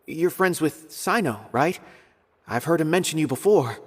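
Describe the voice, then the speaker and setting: A man's voice: fairly high pitch, lively intonation, moderate pace. A man voicing a polite, earnest officer in an anime-style game, courteous and slightly hesitant, with a worried seriousness.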